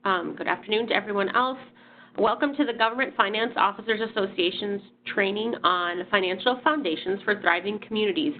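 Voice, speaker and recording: A woman hosts a webinar and walks through a presentation. She sounds a bit nasal from a sinus infection and talks in a friendly way, with frequent ums and restarts. Her voice comes through a thin, phone-like line at a mid pitch. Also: slight background noise. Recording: phone-like audio.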